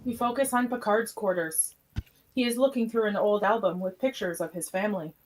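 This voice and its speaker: A woman's voice: medium pitch, a little fast, low-quality recording. A woman reading scripted stage directions as the narrator of a radio theatre piece. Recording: noticeable background noise, clicks.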